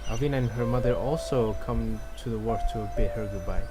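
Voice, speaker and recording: A man's voice: medium pitch, a little fast. A man from Malaysia livestreaming a game, casually commenting and reading dialogue aloud in a laid-back, rambling way. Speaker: game streamer. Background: game music.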